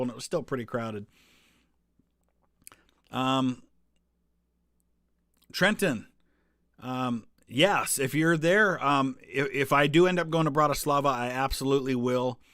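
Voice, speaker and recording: A man's voice: medium pitch, moderate pace, long pauses, dry recording. Rambling casual man on a dry mic. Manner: relaxed, rambling, many ums and restarts. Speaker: history channel host answering live chat. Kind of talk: casual live stream chat over travel photos.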